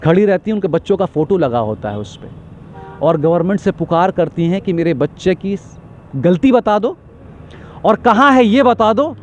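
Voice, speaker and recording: A man's voice: high voice, lively intonation, normal speed, a little hiss or hum. A man giving an impassioned street interview, talking emphatically in long repetitive lists and stammering when agitated.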